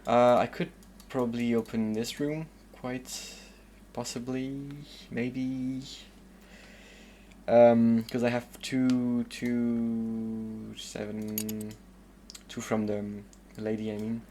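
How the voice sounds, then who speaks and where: A man's voice: medium pitch, level tone, slow, mellow, a little hiss or hum. A man from the southern suburbs of Paris with a French accent, casually commenting while playing a game and thinking aloud with lots of hesitant fillers. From southern suburbs of Paris, France. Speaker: streamer.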